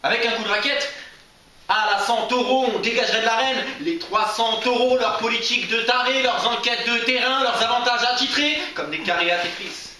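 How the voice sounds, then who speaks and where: Male slam poet, high-pitched and rhythmic, declaiming live from a stage, picked up at a distance in a very echoey room. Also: moderate pace, pushed and bright. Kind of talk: slam poetry performance. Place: stage in a media library.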